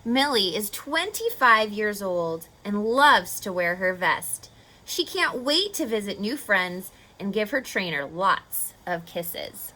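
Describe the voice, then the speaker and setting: A woman's voice: mid-range voice, very animated, takes it slowly, sharp tone, poor audio. A woman hosting a children's read-aloud, speaking expressively and putting questions to young listeners as she teaches. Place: on location at a horse facility.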